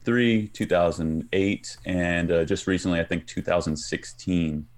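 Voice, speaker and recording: A man's voice: deep voice, steady tone, a little slow. A man speaking casually as an expert guest on a show. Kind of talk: casual chat.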